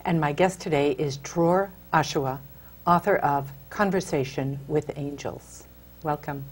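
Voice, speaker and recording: A woman's voice: low-pitched, a little slow, breathy edge. A woman hosting a TV interview, asking her guest follow-up questions in an engaged, encouraging way. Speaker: TV interview host. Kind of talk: hosted interview.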